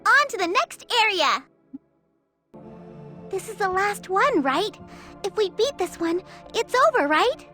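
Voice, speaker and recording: Bubbly young girl sidekick from a fantasy game, really high voice, swoopy delivery. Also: big pitch swings, a little slow, long pauses, pushed and bright, faint music behind, heavily acted. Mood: eager, excited. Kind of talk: video game cutscene acting.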